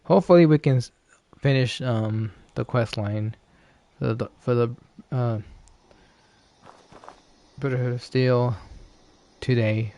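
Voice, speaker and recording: A man streaming a video game with casual, gravelly live commentary. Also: mid-range voice, takes it slowly, pauses often, dark tone, dry recording. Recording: faint background noise.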